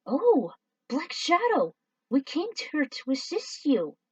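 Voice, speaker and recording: A woman voicing an eager male alien fanboy who fawns over a villain in a high, excited voice, recorded from a distance. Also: slow, no room sound, highs cut off, exaggerated voice. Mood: fawning. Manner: fawning, excitable.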